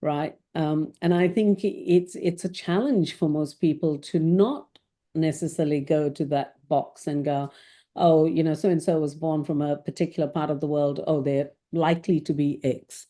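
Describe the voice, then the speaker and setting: A woman's voice: sits low, steady tone, normal speed, warm tone. A middle-aged woman from Malaysia speaking as a tech executive guest, thinking aloud and often tagging her points with "right?". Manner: thoughtful, tags points with "right?". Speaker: CIO guest. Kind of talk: reflective interview talk.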